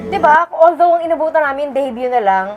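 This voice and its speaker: A high-pitched Filipino woman gives casual reaction commentary as a fan. She is chatty and emphatic, often doubling back on her phrases, with faint music playing behind her. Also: lively intonation, brisk, phone-quality sound.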